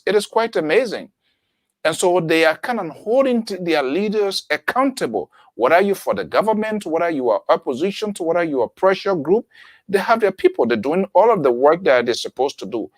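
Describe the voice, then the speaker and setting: Radio host, dry close sound. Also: male voice, a little high, sing-song, moderate pace, dry recording. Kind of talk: radio panel discussion.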